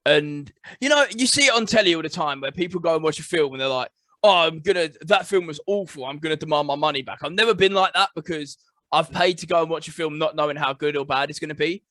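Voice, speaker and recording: A man's voice: fairly high pitch, fast, pushed and bright, dry recording. A man co-hosting a podcast in casual chat, stating blunt, emphatic opinions. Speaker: podcast co-host.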